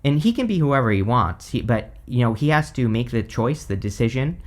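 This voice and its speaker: A man giving a casual tarot reading, hoarse because he is losing his voice, rambling with lots of "like" and "you know". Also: mid-range voice, sing-song, fairly quick. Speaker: tarot reader.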